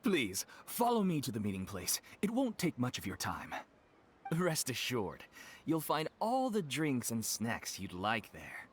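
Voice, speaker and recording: A woman playing a polite young event organizer with a courteous, gently formal way of speaking. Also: rumbling low, huge pitch swings, a little slow, a little hiss or hum, heavily acted. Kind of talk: video game voice acting.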